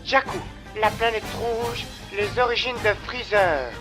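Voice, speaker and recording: High-voiced male dramatic narrator. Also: measured pace. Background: music.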